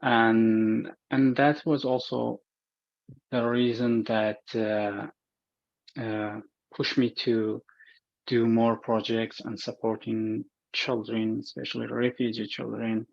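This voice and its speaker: A slow-talking man introduces his paintings in a level, even voice, pausing often to find his words. The sound is dry and a bit muffled, as if captured on modest equipment in a gallery. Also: medium pitch, creaky, no room sound. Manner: halting, searching for words, many fillers. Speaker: visual artist. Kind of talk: speaking at an art show.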